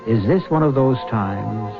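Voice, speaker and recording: A man narrating as the wry, ominous host of a radio ghost story, in a theatrical, measured style. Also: lowish voice, animated, vocal fry. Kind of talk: scripted radio mystery narration. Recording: dull old radio recording. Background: music.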